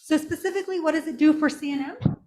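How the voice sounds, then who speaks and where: A soft-voiced woman giving a polite, formal presentation at a council meeting, speaking through a face mask into a handheld microphone. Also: high voice, fast talker. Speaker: community college representative. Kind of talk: prepared presentation to a village council.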